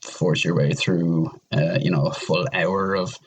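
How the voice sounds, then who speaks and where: A man's voice: low voice, fairly even intonation, unhurried, slight background noise. A man speaking as a podcast guest in a casual discussion of games, rambling and often restarting his phrases. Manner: hesitant, rambling, repeats phrase starts.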